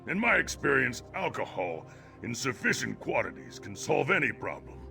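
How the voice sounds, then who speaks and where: Gravelly, boozy Nord joker. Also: male voice, mid-range voice, very animated, moderate pace, pushed and bright, full character voice. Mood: boastful, jovial. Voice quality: gravelly, husky. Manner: wry, jovial, garrulous. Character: boozy, irreverent Nord man who solves everything with ale. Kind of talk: video game character dialogue. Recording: music behind the voice.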